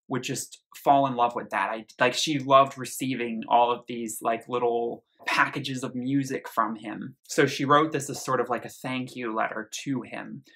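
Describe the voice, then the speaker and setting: A man giving a casual, enthusiastic album review on his vlog, talking in a chatty way and often pausing to rephrase himself. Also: mid-range voice, sharp tone. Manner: chatty, frequent fillers and restarts; enthusiastic, gushing. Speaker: music reviewer.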